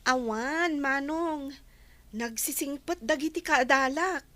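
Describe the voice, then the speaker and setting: Young female radio drama role: sweet, grateful sister, high slow voice, dry close sound. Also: fairly bright tone, dry recording. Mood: shy, reassuring.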